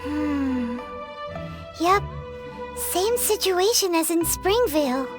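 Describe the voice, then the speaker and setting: A woman voicing a small, childlike, excitable fairy-like girl companion in a video game, with a squeaky voice. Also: slow pace, music in the background, full character voice. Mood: concerned. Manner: speaks of herself in the third person.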